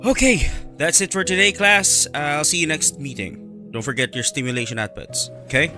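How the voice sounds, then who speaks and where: An actor playing a frank but encouraging college professor with a Filipino accent, measured and switching between English and Tagalog. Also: fairly high pitch, loud music behind the voice, close to an everyday voice. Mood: brisk, friendly. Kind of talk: radio drama acting.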